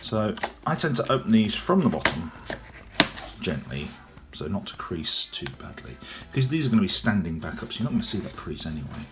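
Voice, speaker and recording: Young man, low gruff cold-roughened voice, loose rambling toy-review delivery. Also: big pitch swings, fairly quick. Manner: rambling, chatty, frequent ums. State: has a cold, voice deep and gruff. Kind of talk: casual unboxing review. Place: indoors at a table, off camera.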